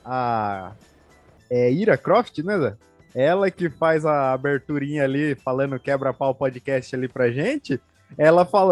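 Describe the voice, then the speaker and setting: A man with an interior São Paulo accent from the Sorocaba area, hosting a podcast in a laid-back, slangy, teasing way. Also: high voice. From Sorocaba region, São Paulo state. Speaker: podcast host. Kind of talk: casual chat.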